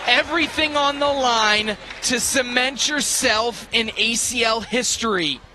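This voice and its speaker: A man's voice: very high-pitched, a little slow, sharp tone, a faint crowd. A man working as a color commentator on a live championship broadcast, explaining the stakes with dramatic emphasis. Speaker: color analyst sports commentator. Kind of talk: live TV analysis of a cornhole championship. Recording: clear venue noise behind the voice. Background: noisy venue ambience.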